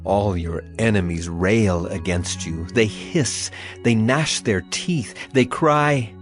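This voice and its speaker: A man's voice: on the low side, slow, faint music behind. Earnest, sorrowful male scripture narrator. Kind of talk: dramatized scripted Bible reading.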